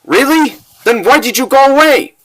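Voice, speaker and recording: Man voicing a high-pitched, pleading child. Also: lively intonation, moderate pace, fairly bright tone, rough recording. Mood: hurt questioning. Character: earnest nine-year-old child, eager and pleading.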